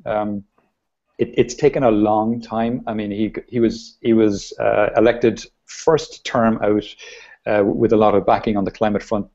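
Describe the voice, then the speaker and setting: A man hosting a casual video call show, talking in an easygoing, halting way with many restarts. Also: lowish voice, level tone, mellow. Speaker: host. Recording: dull lo-fi video call audio.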